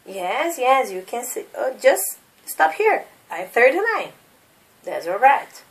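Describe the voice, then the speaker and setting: A Brazilian woman in her thirties teaches English at a deliberate, unhurried pace, with a Brazilian Portuguese accent. Her voice is fairly high and rises and falls a lot as she repeats each question. She sits a little away from the microphone. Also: sing-song, far from the mic. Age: 30s. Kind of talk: slow classroom drilling of questions.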